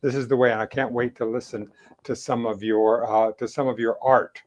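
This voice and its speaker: A man's voice: medium pitch, flat delivery, darkish tone. A man hosting a casual conversational interview, effusive and encouraging, often repeating and rephrasing his words as he goes. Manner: halting, repeats and rephrases phrases. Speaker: podcast host interviewing a guest.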